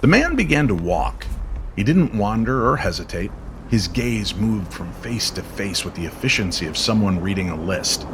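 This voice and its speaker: A man narrating an eerie, nostalgic story as a storyteller, in a measured and dramatic manner. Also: lowish voice, sing-song, slight background noise.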